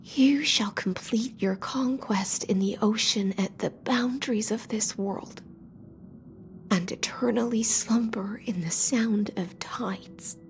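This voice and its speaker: An actress voicing a solemn, enigmatic oracle in a video game, grave and poetic, with a hushed, measured delivery. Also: female voice, fairly high pitch, a little hiss or hum. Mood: hushed, reverent. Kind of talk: scripted video game voice acting.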